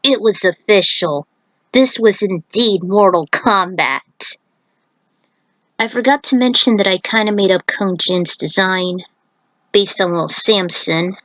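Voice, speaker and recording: A woman's voice: medium pitch, takes it slowly, a bit bright, no echo, poor audio. A woman narrating fan fiction as its writer, in an informal amateur reading style with small stumbles and self-corrections. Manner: informal, stumbles over words and corrects herself. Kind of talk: amateur story reading with casual author commentary.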